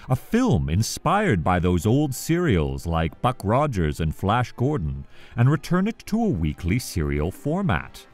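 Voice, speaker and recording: A man presenting a scripted countdown video essay, with an animated, emphatic delivery that draws out key phrases. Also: on the low side.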